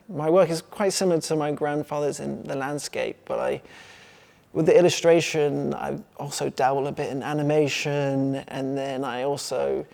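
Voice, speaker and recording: Creaky-voiced English man talking casually. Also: mid-range voice, darkish tone. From England. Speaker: artist. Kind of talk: casual talk about own work.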